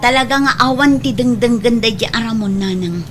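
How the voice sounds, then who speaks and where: Resentful, interfering older sister for a family audio drama, voice a bit high female actor. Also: brisk, over music, natural voice. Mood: indignant, plotting. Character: meddling, resentful elder sister, loyal to her mother. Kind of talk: Ilocano radio-style family drama acting.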